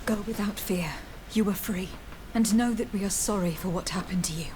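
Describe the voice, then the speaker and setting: An actress playing an earnest, diplomatic young noblewoman in a video game, speaking in a formal, measured and polite way. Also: mid-range voice, noisy background, natural voice. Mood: solemn, compassionate.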